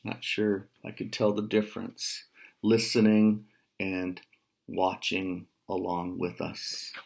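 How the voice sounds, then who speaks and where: An American man in his fifties reading a children's picture book aloud, with measured, casual explanatory asides. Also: deep voice, monotone, gravelly, a little mellow. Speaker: storyteller, writer. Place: small room at home.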